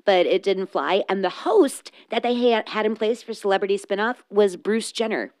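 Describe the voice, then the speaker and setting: A woman's voice: medium pitch, normal speed, darkish tone, no echo, studio quality. A woman co-hosting a comedic podcast, laughing often and reading out facts with fillers and restarts. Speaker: podcast co-host presenting the topic. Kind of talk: casual comedic podcast chat.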